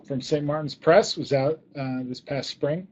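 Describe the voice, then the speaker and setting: A man's voice: a little high, a little slow, dull audio, background noise. A man speaking as an interview guest and author, explaining things conversationally with emphatic repetition. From born in Ghana, grew up in US embassies in Southeast and East Asia.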